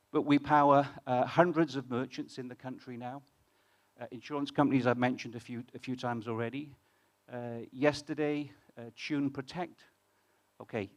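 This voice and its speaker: British man giving a casual conference talk. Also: medium pitch. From United Kingdom. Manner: conversational, many restarts, asks the audience questions. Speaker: fintech founder presenting on stage. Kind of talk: conference talk to a live audience. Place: conference hall with audience.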